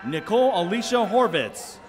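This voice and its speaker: High-voiced man announcing names. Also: sing-song, normal speed. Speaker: commencement name reader. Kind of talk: reading graduates' names at a ceremony. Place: outdoor commencement stage.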